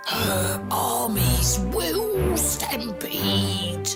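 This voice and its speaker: A woman's voice: medium pitch, sing-song, extremely slow. A woman playing a dazed, oblivious woman who recites ancient prophecy in a comic audio drama. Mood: solemn, incantatory. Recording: loud music behind the voice.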